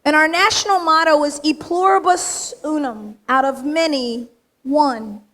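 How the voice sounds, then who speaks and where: A woman from the United States with a slightly raspy voice, giving an emphatic, straight-talking acceptance speech in front of a room of people. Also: high voice, steady tone, unhurried, fairly bright tone. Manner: straight-talking, emphatic, punchy short phrases. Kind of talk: acceptance speech to a live audience. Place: large event room with audience.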